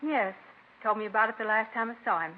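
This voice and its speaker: A woman playing a sharp-tongued, indignant murder suspect in a 1950s radio crime drama, curt and sarcastic. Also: fairly high pitch, fast talker, a little hiss or hum, close to an everyday voice. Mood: bitter. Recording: tinny vintage radio recording.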